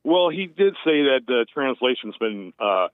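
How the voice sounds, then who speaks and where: A man's voice: medium pitch, very animated, measured pace, smooth, no echo. A man in his late fifties, calling in to a show, talking in an easygoing, rambling way with many fillers. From United States. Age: 50s. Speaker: caller. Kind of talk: casual phone call. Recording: tinny phone line.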